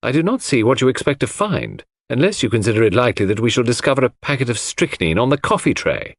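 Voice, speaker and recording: Earnest sceptical gentleman, acted by a man, formal, proud, lively intonation, audiobook dialogue, dry sound. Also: mid-range voice, quick pace, no room sound. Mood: cold indignation. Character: earnest male narrator friend of detective, sceptical, proud. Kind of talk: audiobook character acting.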